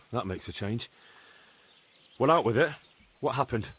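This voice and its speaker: A man's voice: mid-range voice, animated, a little slow, husky. An actor playing a plainspoken, decisive young male bailiff in a medieval video game. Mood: weary, resolute. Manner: plainspoken, matter-of-fact. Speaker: village bailiff character. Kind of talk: video game cutscene acting.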